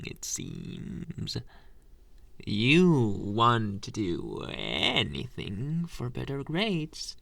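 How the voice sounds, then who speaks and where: Man playing a slow, creaky-voiced flirtatious teacher. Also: medium pitch, bright, forceful tone, dry recording, full character voice. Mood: intrigued, coy. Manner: slow, teasing, hesitant pauses, murmured asides, pet names. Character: flirtatious anime teacher, adult male, coy and teasing. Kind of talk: ASMR roleplay spoken to the listener.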